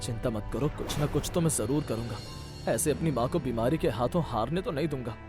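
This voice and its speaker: A man's voice: mid-range voice, brisk, over music, natural voice. A man voicing a humble, hardworking street vendor and devoted son in a cartoon story, earnest and polite. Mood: troubled resolve.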